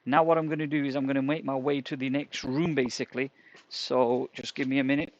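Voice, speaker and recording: A man who runs a repair workshop, talking casually and haltingly while testing an earpiece mic as he walks around his workshop. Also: mid-range voice, little pitch movement, dull audio, slight background noise. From England. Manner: rambling and hesitant, many fillers and "basically". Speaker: repair shop owner reviewing a product. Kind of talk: casual product test vlog. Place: indoor workshop, walking between rooms. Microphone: wireless earpiece, later a wired headset.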